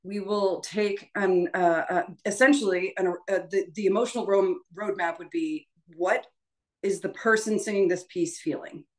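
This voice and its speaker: A woman voice teacher leading an informal prep session, explaining things in a halting, conversational and encouraging way. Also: mid-range voice, steady tone. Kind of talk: informal spoken lesson.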